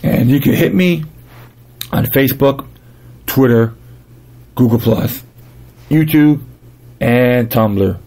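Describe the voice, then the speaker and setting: A man with a dry voice hosting a podcast, reading and commenting informally in a halting way, often stumbling and repeating himself. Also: mid-range voice, vocal fry, a little mellow. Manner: halting reader, stumbling over words and repeating phrases. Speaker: podcast host. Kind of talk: reading news articles aloud with casual commentary.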